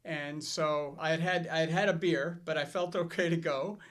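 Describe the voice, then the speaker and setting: A middle-aged man hosting a financial advice show, telling stories in a relaxed way with fillers and restarts. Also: a little high, moderate pace. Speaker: financial advisor and show host. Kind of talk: casual scripted chat.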